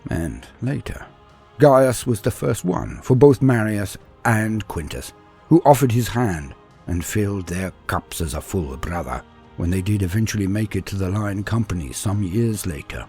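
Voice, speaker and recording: Husky low-voiced man narrating dramatically. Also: expressive, moderate pace, mellow, faint music behind. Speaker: narrator of fan fiction war tales. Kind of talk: dramatic scripted storytelling narration.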